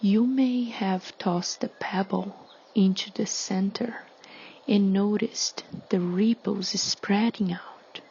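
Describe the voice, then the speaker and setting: A woman leading a guided meditation in a soothing, hushed, breathy delivery with long pauses. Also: medium pitch, fairly even intonation, highs cut off, a little hiss or hum.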